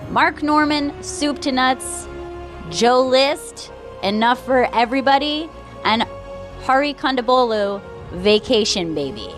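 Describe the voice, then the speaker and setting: A woman's voice: high-pitched, fairly bright tone. A woman co-hosting a comedy talk show in a casual, conversational style.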